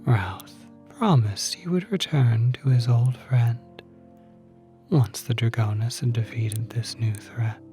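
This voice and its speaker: Slow, mellow, whispery male narrator. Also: medium pitch, faint music behind. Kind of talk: scripted story epilogue narration.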